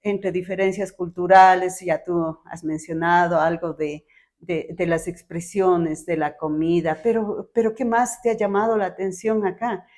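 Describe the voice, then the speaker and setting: A woman hosts a relaxed podcast conversation. Her voice is somewhat low. She asks friendly questions and often affirms what her guest says. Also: measured pace. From Ecuador. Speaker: podcast host. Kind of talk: casual interview chat.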